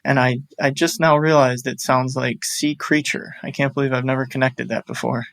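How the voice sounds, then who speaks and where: A man's voice: medium pitch, steady tone, moderate pace, vocal fry, a bit bright, no echo. A man in his thirties who works in audio production, answering thoughtfully in casual chat, rambling a little with restarts. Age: 30s.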